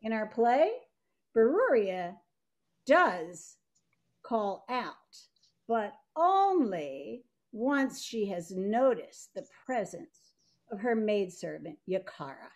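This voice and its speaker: A woman's voice: medium pitch, sing-song, takes it slowly, darkish tone. An older woman reading scholarly narration over a video call from a room at home. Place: living room at home, indoors.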